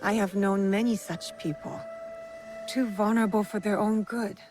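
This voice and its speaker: Actress as guarded female comrade, video game, frank, gentle but firm, medium pitch. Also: fairly even intonation, moderate pace, noisy background, natural voice.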